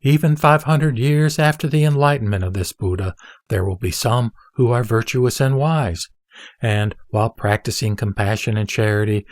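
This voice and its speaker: A man playing the Buddha as a wise, serene teacher, speaking formally and deliberately in a scripted audio drama. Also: mid-range voice, a little mellow, no echo, studio quality, natural voice.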